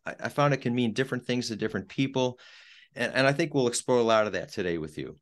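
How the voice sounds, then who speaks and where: A man's voice: medium pitch, fast. A man hosting a podcast interview who asks his questions hesitantly, stumbling and repeating words. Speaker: podcast host.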